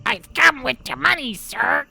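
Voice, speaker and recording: A man voicing a stout little goblin servant, timid and eager to obey, with halting, stammering speech and broken grammar. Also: very high-pitched, creaky, bright, forceful tone, soft background music, voice pushed up, heavily acted. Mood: eager, obedient.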